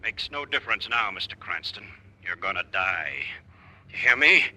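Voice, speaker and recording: A man's voice: on the low side, expressive, moderate pace, sharp tone, full character voice. An actor playing a menacing male villain who taunts his victims over a phone, in 1940s radio style. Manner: taunting, gloating. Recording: old radio broadcast with long reverb.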